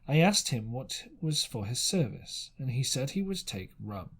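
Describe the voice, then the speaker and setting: Husky man reading a story expressively. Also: medium pitch, sing-song. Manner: halting reading, stumbles and rereads phrases. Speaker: amateur audiobook narrator. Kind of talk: dramatic storybook narration.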